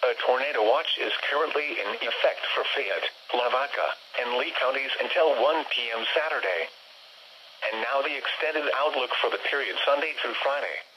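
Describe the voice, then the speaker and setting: A synthetic male voice reading a formal, measured, impersonal scripted weather bulletin as an announcer over the radio. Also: medium pitch. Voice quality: synthetic text-to-speech voice. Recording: noisy weather radio broadcast.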